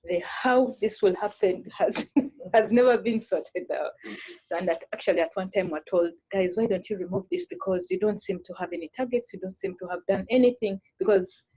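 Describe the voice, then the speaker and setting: A mental health official speaking on a conference panel, haltingly, with many fillers and restarts. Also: mid-range voice, lively intonation, darkish tone, husky, no echo, highs cut off.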